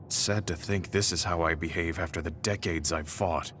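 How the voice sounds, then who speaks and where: A man playing a middle-aged, guilt-ridden veteran soldier in a video game, speaking in a measured, earnest way. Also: low-pitched, steady tone, slight background noise. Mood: sorrowful, guilty. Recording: studio voice under loud game music.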